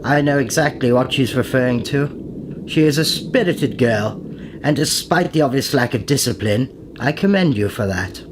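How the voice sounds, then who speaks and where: An actor playing a pompous aristocratic cult leader in a radio play, theatrical, old-fashioned and genially menacing. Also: male voice, medium pitch, animated, normal speed, background noise, close to an everyday voice. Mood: grandly ominous. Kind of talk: period radio play acting.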